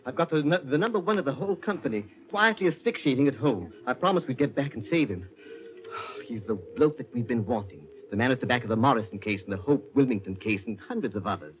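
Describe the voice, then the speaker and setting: An actor playing a flippant, suave British gentleman detective in the style of 1940s radio drama. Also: medium pitch, animated, fast, close to an everyday voice. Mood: urgent, brisk. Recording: muffled, noisy vintage radio recording.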